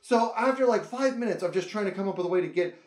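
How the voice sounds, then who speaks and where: An excitable man with a high voice tells a personal story for laughs. His delivery swings widely up and down as he dramatically acts out reactions and dialogue. The room adds noticeable echo around him. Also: fast talker. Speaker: storyteller, show host. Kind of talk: animated comedic personal storytelling.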